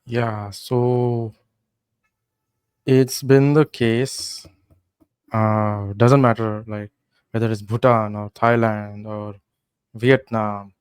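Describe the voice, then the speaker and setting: Low, smooth-voiced man telling travel stories. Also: very slow, long pauses, no echo. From India. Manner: relaxed storytelling with many fillers. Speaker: podcast guest, traveler. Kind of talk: casual podcast chat.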